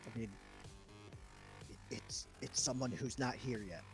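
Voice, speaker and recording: A man's voice: mid-range voice, measured pace. A man chatting casually and off the cuff as he runs a wrestling booking game on a live stream, thinking aloud as he goes. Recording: background music under the voice. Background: chillhop video game music.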